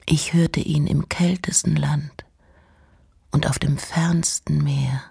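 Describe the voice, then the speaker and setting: A woman gives a hushed, measured literary recitation in a husky, breathy voice that often nears a whisper. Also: low voice, steady tone. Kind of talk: slow literary recitation of poems and letters.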